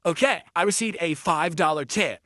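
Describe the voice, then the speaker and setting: Bright-voiced man rambling defensively on stream. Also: a little high, normal speed, no echo, clean studio sound. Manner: defensive, rambling, full of fillers and restarts. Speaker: livestreamer. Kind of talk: rambling livestream chat with viewers. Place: his home streaming room.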